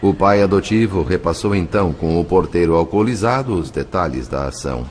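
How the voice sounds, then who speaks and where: A Brazilian man narrates an audio novel in a deep voice. His delivery is solemn, measured and dramatic, clearly read from a script. Music plays behind him. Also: animated, darkish tone.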